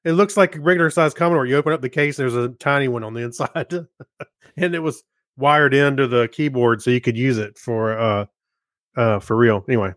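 A man's voice: medium pitch, a little fast, fairly bright tone, dry recording, studio quality. A man co-hosting a podcast in a casual, easygoing, conversational way. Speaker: podcast co-host. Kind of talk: casual chat.

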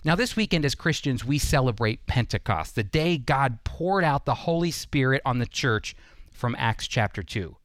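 A man's voice: medium pitch, expressive, normal speed, a bit bright, no room sound. A man hosting a radio program, delivering scripted Bible teaching and commentary.

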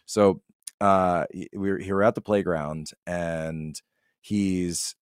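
A man with a deep voice talks in a dry, close-sounding recording. He rambles in a casual, opinionated podcast style, telling stories with animation and acting out what people said. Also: fairly even intonation, slow pace, clean tone, no room sound.

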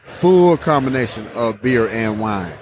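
A man's voice: medium pitch, lively intonation, measured pace, slight background noise. A man hosting a casual beer tasting vlog, enthusiastic and repeating his phrases. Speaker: host.

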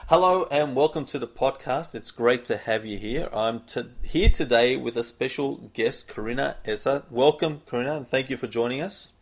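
A man hosting a podcast recorded from a weekly conference call, reading a guest introduction at a brisk clip with small slips. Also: mid-range voice, faint music behind. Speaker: podcast host. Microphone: conference call line.

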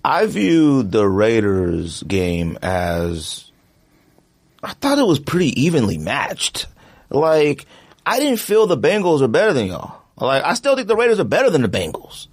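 A man's voice: medium pitch, wildly swooping pitch, a little slow, no room sound, studio quality. A man co-hosting a podcast, talking football in a laid-back, rambling way. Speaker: podcast co-host. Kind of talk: casual sports debate.

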